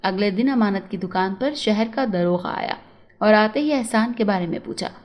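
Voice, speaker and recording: A woman's voice: medium pitch, moderate pace. A woman storyteller narrating a scripted moral tale.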